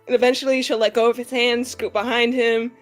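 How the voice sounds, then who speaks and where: A woman's voice: fairly high pitch, little pitch movement, fairly quick, faint music behind. A woman playing a tabletop roleplaying game, casually improvising and thinking aloud in a rambling way.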